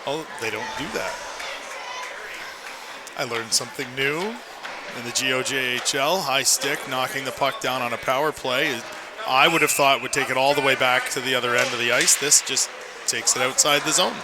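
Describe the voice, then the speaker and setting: A man's voice: mid-range voice, moderate pace. A man giving opinionated, emphatic color commentary on a live hockey radio broadcast. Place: indoor ice rink. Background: loud rink ambience and noise.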